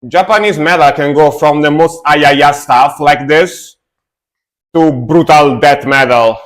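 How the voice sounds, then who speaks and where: A young adult man casually chatting with his stream chat while reacting to metal music, relaxed and talkative. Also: on the high side, takes it slowly. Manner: relaxed, chatty, answers chat, fillers and restarts.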